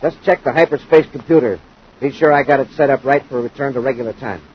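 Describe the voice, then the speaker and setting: An actor playing a gruff, grudging henchman pilot, curt and impatient, in a 1950s radio serial. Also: medium pitch, fast talker, natural voice. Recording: noisy, dull 1950s radio recording.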